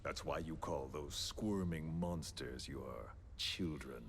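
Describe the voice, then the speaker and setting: A menacing, philosophical game villain with a very low voice. He talks slowly and deliberately, condescending and theatrical as he coerces his captive. Faint noise sits behind the voice. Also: vocal fry, full character voice. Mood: smug, persuasive. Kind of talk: dramatic video game voice acting.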